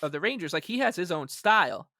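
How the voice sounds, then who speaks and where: A man co-hosting a casual sports podcast, rambling along in an animated, emphatic way. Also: sits high, quick pace, creaky, dry recording, clean studio sound. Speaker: podcast co-host.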